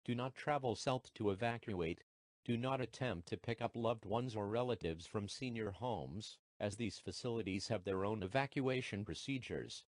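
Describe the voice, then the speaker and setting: A man voicing an official emergency alert announcer, formal and measured, reading a scripted broadcast message. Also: on the low side, no room sound, studio quality, close to an everyday voice. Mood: formal, impersonal.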